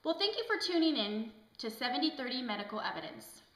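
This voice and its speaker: A woman's voice: high-pitched, very animated, fast. A woman hosting a mock TV health show with scripted lines and a playful, giggly manner. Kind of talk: scripted presenting, often breaking into laughter. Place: indoor room, amateur student video. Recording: echoey room with background noise.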